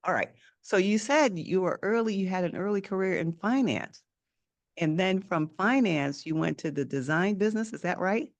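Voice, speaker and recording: A woman's voice: mid-range voice, lively intonation, moderate pace. A woman hosting a podcast interview, friendly, often restarting and rephrasing her questions. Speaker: podcast host.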